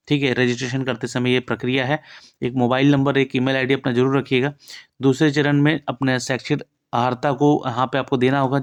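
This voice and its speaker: A man's voice: medium pitch, clean tone. A man giving an informal, instructive walk-through of an official notice, often reminding listeners what to note. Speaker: presenter of a job exam information channel.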